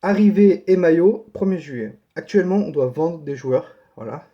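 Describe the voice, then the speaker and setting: A man's voice: on the high side, expressive, moderate pace. A man with a head cold, giving a casual football news update in a colloquial way, repeating points for emphasis. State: has a cold. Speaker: host of a football transfer news channel. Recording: dull, lo-fi sound.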